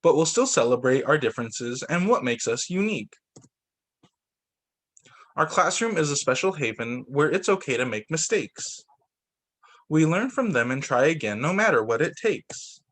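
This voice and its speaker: Animated male teacher reading aloud. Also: on the high side, normal speed. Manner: expressive, comments aloud on the pictures. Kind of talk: picture-book read-aloud with off-the-cuff remarks.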